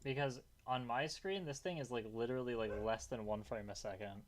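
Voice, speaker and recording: A young man streaming a game, chatting casually with a calm, relaxing voice, rambling loosely and swearing often. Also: medium pitch, brisk. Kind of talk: casual gaming stream chat.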